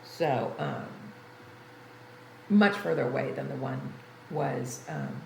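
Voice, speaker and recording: A woman's voice: very deep voice, slow pace, creaky, a little mellow. An older woman livestreamer chatting casually and ramblingly to her viewers, sounding congested and tired. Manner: rambling, conversational. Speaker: game livestreamer. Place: room in an apartment. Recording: roomy echo, faint background noise.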